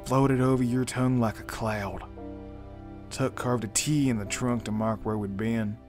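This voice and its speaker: Man acting a slow, bitter young man. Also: mid-range voice, steady tone, a little mellow, faint music behind, close to an everyday voice. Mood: brooding storytelling. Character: bitter, brooding young man with lost love. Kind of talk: fan audio drama acting.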